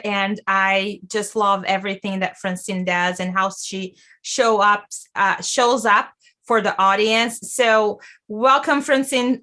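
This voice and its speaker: A woman hosting an interview, her voice bright and forward. She talks eagerly and loosely, restarting sentences and stacking questions as she goes. Her enthusiasm carries every line. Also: medium pitch. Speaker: podcast host. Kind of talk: casual interview.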